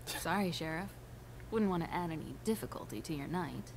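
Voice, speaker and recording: An actress playing a jaded, sardonic young streetwalker in a noir video game, wry, cool and teasing. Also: female voice, on the low side, expressive, brisk, background noise.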